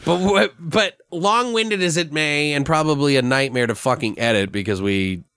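A man's voice: fairly high pitch, moderate pace, a bit bright, clean tone, dry recording. A man hosting a podcast, brainstorming in a casual, rambling and sweary way. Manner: rambling, profane. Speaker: podcast host. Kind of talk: casual chat, brainstorming a horror story.

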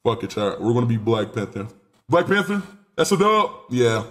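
A nineteen-year-old man streaming live from a small room on a headset mic, excitable and rambling, swearing freely. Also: medium pitch, normal speed. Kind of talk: casual live stream chat.

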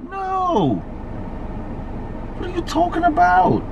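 A man's voice: huge pitch swings, takes it slowly, creaky, lo-fi sound. Young man talking from his parked car. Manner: playful, then pleading and high-pitched in denial. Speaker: vlogger pranking his girlfriend. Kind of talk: casual vlog to camera. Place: inside a parked car, outdoors in summer heat. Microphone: camera on the dashboard. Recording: car interior with background noise.

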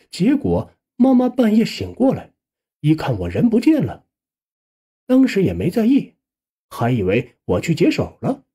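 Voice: mid-range voice, mellow, breathy edge. A narrator reading a suspense ghost story aloud as scripted, dramatic audiobook narration.

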